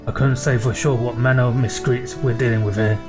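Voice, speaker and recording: A man's voice: mid-range voice, steady tone, fairly quick, over music, lightly acted. A man reading game quest dialogue as a worried farm boss and former sailor, with folksy, old-fashioned phrasing. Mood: cautioning.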